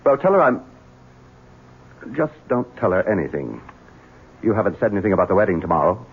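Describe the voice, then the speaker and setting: A cold, stammering master. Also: male voice, fairly low pitch, big pitch swings, brisk, breathy edge, heavily acted. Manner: stammering when caught off guard, curt and commanding otherwise. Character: domineering Southern son, nervous, drinking and cruel. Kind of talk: 1970s radio drama acting. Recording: narrow-band old radio broadcast.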